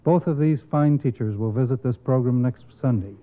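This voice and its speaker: A man speaks as a committee spokesman in a formal, earnest and measured manner, reading a prepared announcement at a medium pitch. The sound is narrow and phone-like, like an old radio broadcast.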